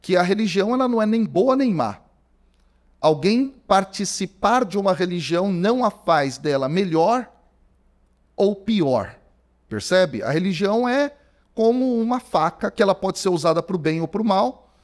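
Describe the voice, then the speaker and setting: A man's voice: high voice, moderate pace. A Brazilian man around forty, a theology professor talking as a podcast guest, telling his story casually with lots of fillers. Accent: Brazilian Portuguese. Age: 40s. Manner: animated storyteller, many fillers like "cara". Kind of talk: conversational podcast interview. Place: podcast studio. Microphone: studio microphone.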